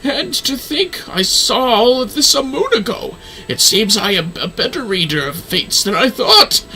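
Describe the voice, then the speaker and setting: A man's voice: very high voice, very animated, a little slow, pushed and bright, pitched above the performer's own voice, full character voice, rough recording. A man voicing an elderly, weary, wryly jesting astrologer from a fantasy game in formal, theatrical phrasing.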